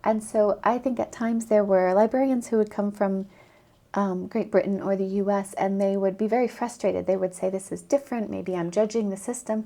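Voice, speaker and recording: A middle-aged American woman talks as a podcast guest, thoughtful and openly enthusiastic, often breaking into laughter. Her voice has a gravelly fry running through it and a warm, mellow color. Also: medium pitch, steady tone, a little fast. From United States. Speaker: anthropologist guest. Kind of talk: podcast interview answers.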